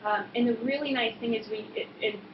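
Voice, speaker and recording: A woman's voice: on the high side, fairly even intonation, brisk. American woman presenting in an echoey room. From Boston suburbs, US East Coast. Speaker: winemaker presenting at a tasting. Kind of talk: informal explanatory presentation. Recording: echoey room, muffled, noisy background.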